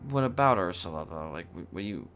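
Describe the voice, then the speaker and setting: A man playing a conflicted prison inmate trying to reform, improvising in a halting, stammering way. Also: low-pitched, expressive, a bit bright, faint music behind. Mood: hesitant, earnest. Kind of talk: improvised online roleplay.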